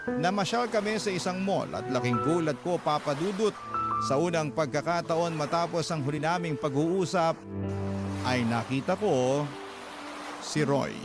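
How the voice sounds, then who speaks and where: A woman's voice: low-pitched, animated, normal speed. A woman playing a young single mother who tells her life story in an earnest, emotive radio drama narration. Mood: heartfelt. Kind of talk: dramatized first-person letter narration over music. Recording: loud music under the voice.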